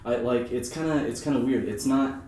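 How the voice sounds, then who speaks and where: A man's voice: medium pitch, normal speed, background noise. American man, young, in an echoey room. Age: young adult. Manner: laid-back, slangy. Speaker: podcast host. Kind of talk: casual podcast chat. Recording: echoey, roomy sound.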